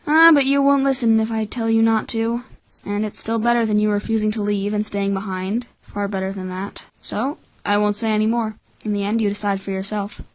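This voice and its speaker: A woman voicing a cynical, calculating twelve-year-old orphan boy in a measured, blunt anime-style fan dub. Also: mid-range voice, fairly even intonation, no room sound, natural voice, low-quality recording. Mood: resigned, blunt.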